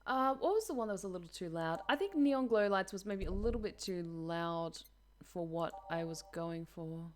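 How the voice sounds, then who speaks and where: A woman's voice: lowish voice, lively intonation, normal speed, a little mellow. A woman streaming a game, chatting casually with her viewers, self-deprecating and talkative. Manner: chatty, self-deprecating, reads chat aloud.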